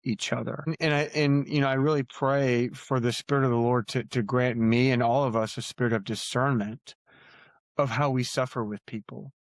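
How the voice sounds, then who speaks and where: A man's voice: mid-range voice, fairly even intonation, normal speed, dry recording. A man talking earnestly as an author guest on a podcast, repeating phrases for emphasis. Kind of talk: earnest podcast interview talk.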